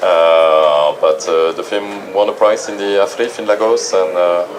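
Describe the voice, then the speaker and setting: A man's voice: sits low, fairly even intonation, measured pace, poor audio. A man from France, a film producer, speaking hesitantly on a festival panel with many fillers. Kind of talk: panel talk.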